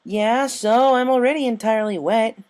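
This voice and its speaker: A man's voice: very high voice, normal speed, darkish tone, voice pushed up. A man voicing a carefree, cheerful young female pegasus pony in a storybook reading, with a cocky, playful manner. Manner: breezy, playful.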